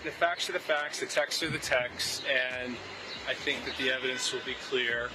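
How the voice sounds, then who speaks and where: A man giving measured, halting off-the-cuff remarks to the press outdoors. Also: mid-range voice, steady tone. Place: outdoors outside a courthouse. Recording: noisy urban street with traffic.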